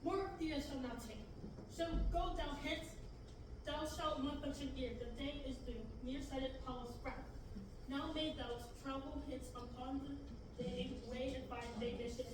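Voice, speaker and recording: A woman's voice: sits high, natural voice. A young woman reading the part of a fussy, anxious male robot at a table reading, dramatic and stumbling over the verse lines. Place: large classroom with many seated readers. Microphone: far camera. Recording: echoey room, far-off mic, background noise.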